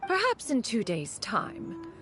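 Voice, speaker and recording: A woman's voice: on the high side, wildly swooping pitch, slow, over music, heavily acted. A woman playing a dignified, aloof immortal master in a video game, speaking in formal, archaic, haughty phrases. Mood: composed, gracious. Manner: formal, archaic, haughty, refers to self as "one".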